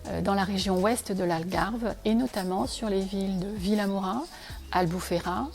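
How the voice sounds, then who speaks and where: A woman whose native language is Portuguese gives a measured, articulate scripted presentation as a real estate advisor. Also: mid-range voice, fairly even intonation, gravelly, a little mellow. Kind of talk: scripted promotional presentation to camera. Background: faint music.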